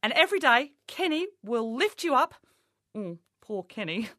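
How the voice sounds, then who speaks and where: A woman's voice: on the high side, sing-song, normal speed, a bit bright, dry recording, clean studio sound. A woman doing a brash, insulting mock-motivational comedy monologue as a life coach. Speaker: comic playing a life coach.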